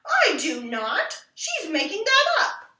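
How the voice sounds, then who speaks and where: Woman acting an impatient little girl, bright and high. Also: huge pitch swings, slow, heavily acted. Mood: indignant. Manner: demanding, exclaiming. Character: impatient, indignant second-grade girl in a storybook. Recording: roomy echo, distant mic.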